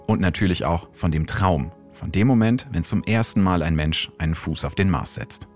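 A man's voice: sits low, normal speed, a little mellow, faint music behind. A man hosting and narrating a scripted science documentary in German.

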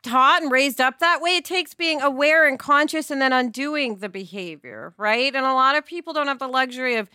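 A woman's voice: high voice, brisk, hardly any pauses, sharp tone, clear, resonant tone, dry recording, studio quality. A middle-aged woman co-hosting a podcast in a candid, talkative, emphatic conversational way. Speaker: podcast co-host.

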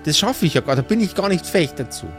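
A man plays a bossy old dwarf mother in a fantasy audio play. The voice sits fairly high for a man and scolds in earthy Bavarian dialect, full of sarcasm. Soft music plays underneath. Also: expressive, fast talker.

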